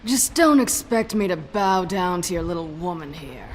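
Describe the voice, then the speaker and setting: A woman's voice: on the low side, lively intonation, moderate pace, slight background noise, natural voice. A woman playing a proud, defiant witch in a video game, speaking bluntly and grudgingly. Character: proud, defiant witch bargaining to keep her tree. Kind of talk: dramatic video game voice acting.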